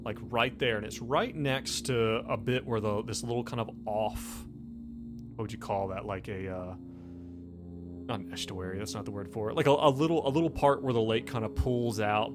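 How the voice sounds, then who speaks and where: A man narrating a tabletop game as game master, improvising and searching aloud for words. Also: on the low side. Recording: music behind the voice. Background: background music.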